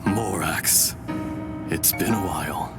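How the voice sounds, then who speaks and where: An actor playing a calm, solemn dragon spirit in a human body, speaking gravely and with measure in a video game. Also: male voice, sits low, takes it slowly. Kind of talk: dramatic video game acting. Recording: clear music underneath.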